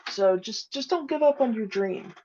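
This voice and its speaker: A woman's voice: a little high, expressive, moderate pace, dark tone. Hesitant woman on dull, muffled audio. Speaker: podcast guest, audio drama creator. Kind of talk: casual interview chat.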